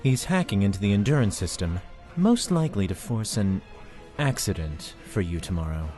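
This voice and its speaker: A man's voice: fairly low pitch, lively intonation, moderate pace, warm tone, faint music behind, lightly acted. A man playing a calculating young soldier, clipped and guarded, in a sci-fi drama.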